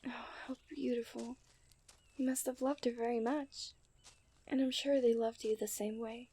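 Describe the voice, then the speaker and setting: Woman acting a mellow, genteel fairytale princess. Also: a little high, steady tone, moderate pace. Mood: tender, soft. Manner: formal, genteel fairytale phrasing with breathy sighs. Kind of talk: scripted romantic ASMR roleplay.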